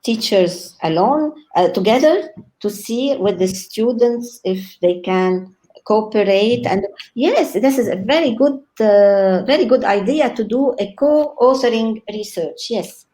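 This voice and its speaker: A woman born in Lebanon, speaking as a guest scholar in an online interview, enthusiastic, often pausing with "uh" and laughing. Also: fairly high pitch, lively intonation, a little hiss or hum, low-quality recording. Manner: warm, enthusiastic, frequent laughs and hesitations.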